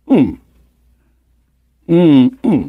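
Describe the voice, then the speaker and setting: A stern, suspicious Ilocano father in a radio comedy-drama. The voice is husky and dragged out, swinging widely in pitch, recorded very dry. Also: sing-song, extremely slow, no echo, narrow, tinny audio, full character voice. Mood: probing.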